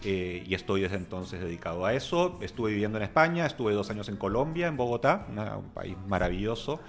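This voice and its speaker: A man in his forties from Peru speaking as an interview guest, giving a measured, articulate, conversational account. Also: lowish voice, very animated. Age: 40s. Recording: faint music in the background.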